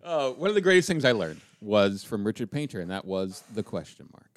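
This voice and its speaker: Rambling man in his fifties, lively. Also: fairly low pitch, brisk, no room sound. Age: 50s. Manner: rambling, laughs at himself, acts out quotes. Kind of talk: casual chat.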